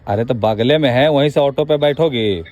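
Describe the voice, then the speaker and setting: A man's voice: medium pitch, normal speed, darkish tone. A man with a Bihari accent in an informal phone conversation, asking pressing questions in a colloquial way and cutting in. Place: phone call.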